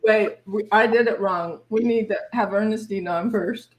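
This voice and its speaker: A woman's voice: mid-range voice, steady tone, moderate pace, darkish tone, rough recording. A woman host giving casual, chatty announcements for a spiritual service.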